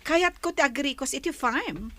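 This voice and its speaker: A woman playing a gracious young Ilocano woman in a village radio drama. Her voice is high and bright and moves with a lot of melody. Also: expressive, normal speed, dry recording. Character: young woman, gracious farm owner's love.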